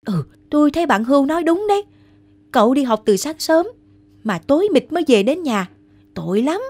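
A woman's voice: sits high, big pitch swings, fairly quick, darkish tone. Woman voicing a chatty young cartoon forest animal. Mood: sympathetic. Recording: music behind the voice.

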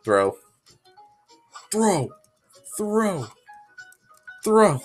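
A young man giving goofy, self-mocking commentary while playing a game, sick and sounding deeper than usual. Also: big pitch swings, drawn-out words, soft background music. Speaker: gamer. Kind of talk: casual gameplay commentary.

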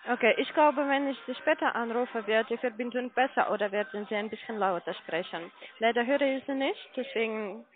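A woman with a fairly high voice speaks over a narrow phone line. She is a non-native German speaker, polite and formal like a sales agent. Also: fairly quick. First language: not German. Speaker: call center sales caller. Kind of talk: phone conversation.

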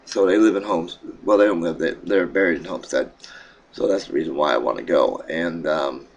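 A middle-aged man with a froggy throat, casually talking to his webcam at home in a rambling, halting way. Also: sits low, breathy edge. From Pennsylvania. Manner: rambling, halting, with many fillers and restarts. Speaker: vlogger. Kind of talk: casual vlog update. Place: small room in a home, indoors. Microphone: webcam at desk.

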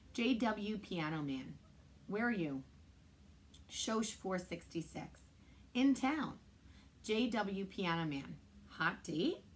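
A woman in her thirties, a children's librarian, reading a chapter book aloud in a steady, measured way. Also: mid-range voice, slightly rough. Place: indoor library room.

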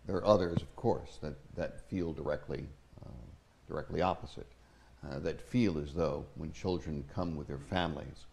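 An older man hosts a talk show in a very deep voice with a gravelly edge. He asks measured, emphatic questions in an ornate mansion living room, on a lapel mic. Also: darkish tone, a little hiss or hum. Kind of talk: broadcast interview questions.

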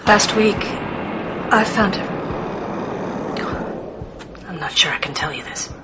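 A woman's voice: medium pitch, slow, lo-fi sound, very noisy, close to an everyday voice. A woman acting in a radio play as a distressed, frank and wry movie-star mother, confiding and hesitant. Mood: shaken, confiding.

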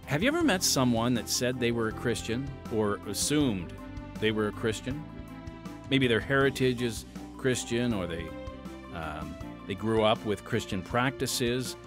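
A man's voice: mid-range voice, expressive, unhurried. A man hosting a podcast, talking conversationally with fillers before introducing the episode. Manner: conversational, with fillers and dramatic shifts. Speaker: podcast host. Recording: music bed under the voice.

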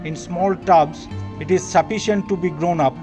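A man's voice: sits high, steady tone, normal speed, over music. A man in his sixties with a Bengali accent, earnestly hosting a gardening vlog in his rooftop garden and calling his viewers "dear friends.". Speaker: gardening channel host. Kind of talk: casual gardening vlog tour.

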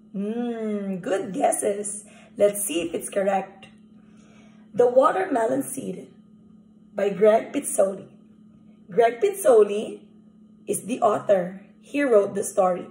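A young woman teacher leading an interactive picture-book read-aloud, animated and asking children questions directly. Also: medium pitch, slow pace, pauses often. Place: small indoor room at home. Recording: echoey room recording.

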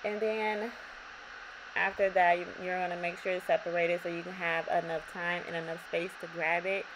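A young woman with a slightly raspy voice, calmly talking viewers through a hair braiding tutorial in her bedroom. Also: fairly low pitch, moderate pace. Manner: relaxed, repetitive instructions addressing viewers. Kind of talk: step-by-step tutorial narration. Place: bedroom, indoors. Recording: audible background noise.

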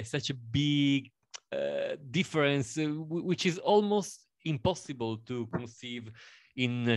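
Italian man with a smooth, dry voice. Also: mid-range voice, no echo. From Italy. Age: 30s. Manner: halting, with many fillers and repeated words. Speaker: panel guest. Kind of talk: self-introduction on a panel.

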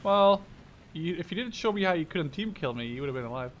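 A man's voice: a little high, expressive, quick pace, a little hiss or hum. A man chatting casually and self-deprecatingly with a teammate while playing a video game. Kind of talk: casual gaming chat with a teammate.

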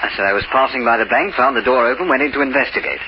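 An actor in an old radio crime drama playing a coldly suave, betrayed banker turned crook, precise and sardonic. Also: male voice, medium pitch, very fast, natural voice. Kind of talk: 1940s-50s radio drama acting. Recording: noisy, dull old radio recording.

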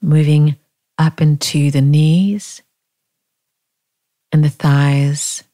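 A woman leading a guided body scan meditation in a calm, gentle, unhurried manner, leaving long pauses. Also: low-pitched.

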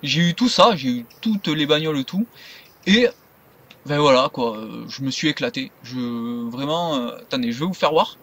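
A man's voice: medium pitch, moderate pace, a bit bright. A man giving casual, unscripted game commentary, rambling and stumbling over his words. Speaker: gaming video creator. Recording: noisy home recording.

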